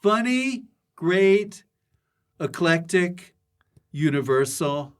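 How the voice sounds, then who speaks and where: Animated man hosting a playful comedy chat. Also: high-pitched, extremely slow, smooth. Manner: playful, teasing, riffing. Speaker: podcast host, comedian. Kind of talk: loose comedic interview.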